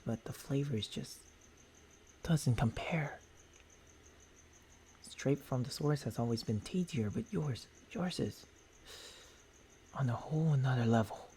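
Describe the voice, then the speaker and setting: A man voicing a gentlemanly, tender vampire boyfriend in an intimate ASMR roleplay, speaking haltingly into a close binaural microphone with formal endearments and a husky, breathy voice. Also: medium pitch, a little hiss or hum, close to an everyday voice. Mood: savoring, hungry.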